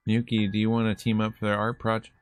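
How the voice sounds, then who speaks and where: A man's voice: lowish voice, monotone, quick pace, lightly acted. A man voicing an awkward, meek schoolgirl who keeps seeking friendship, in a timid visual novel character voice. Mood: timid, pleading.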